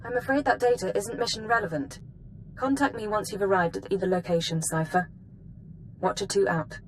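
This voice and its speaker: A female intelligence officer for a video game, played by an actress, medium in pitch. She briefs in a composed, efficient way, over a faint noise. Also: fairly quick, close to an everyday voice. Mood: brisk, formal. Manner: crisp, businesslike.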